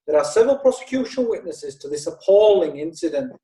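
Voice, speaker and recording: Man as a high, mellow-toned pompous prosecutor, radio drama, distant and roomy. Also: animated, fast talker, close to an everyday voice. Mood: accusing. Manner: formal, theatrical courtroom oratory. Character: pompous male prosecuting lawyer.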